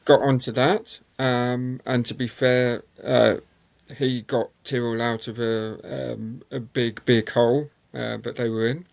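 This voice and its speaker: A man, a guest on a motorsport show, telling long anecdotes with many ums and pauses. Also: mid-range voice, level tone, gravelly. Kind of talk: conversational interview storytelling.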